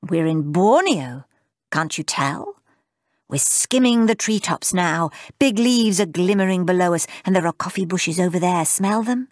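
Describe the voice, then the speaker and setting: Woman voicing old great-uncle, gentle, playful, velvety drawl, slow, lowered voice. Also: animated, dry recording, clean studio sound. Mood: dreamy.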